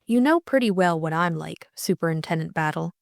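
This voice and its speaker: A woman's voice: fairly low pitch, expressive, a little fast, gravelly, no room sound, clean studio sound. A woman voicing a bold, eager young lady amateur sleuth in an audiobook, earnest and insistent. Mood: earnest, determined. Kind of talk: audiobook character acting.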